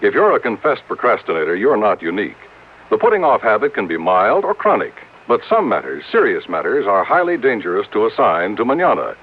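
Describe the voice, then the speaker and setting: An American man announces in formal 1950s radio style. His delivery is emphatic and very varied in pitch, and the vintage recording sounds thin and phone-like. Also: huge pitch swings, fairly quick.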